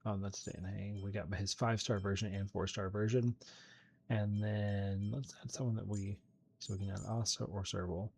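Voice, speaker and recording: A man's voice: lowish voice, flat delivery, normal speed, creaky, a little mellow, a little hiss or hum. A man giving casual, explanatory commentary as he hosts a video game playthrough. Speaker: gaming video host.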